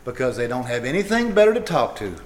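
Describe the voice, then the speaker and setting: A man's voice: medium pitch, big pitch swings, fast, close to an everyday voice. A man playing an exasperated, skeptical middle-aged father in a 1950s-style radio family comedy, grumbling and sarcastic. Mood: grumbling, dismissive. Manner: grumbling, sarcastic, hesitant openers. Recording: echoey, noisy live stage recording.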